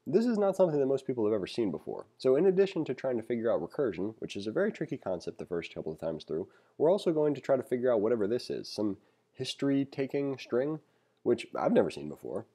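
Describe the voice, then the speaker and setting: A man's voice: medium pitch, very animated, fast, mellow, husky. A man giving a read-along programming lesson as a teacher, reading aloud and adding wry, conversational asides.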